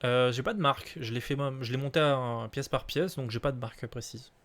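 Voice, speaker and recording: A man's voice: mid-range voice, a little fast, fairly bright tone. Casual streamer, man in his late twenties, hesitant, filler-heavy delivery. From southern France. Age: late 20s. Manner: hesitant, many fillers and restarts, laughs easily. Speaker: streamer answering viewer questions.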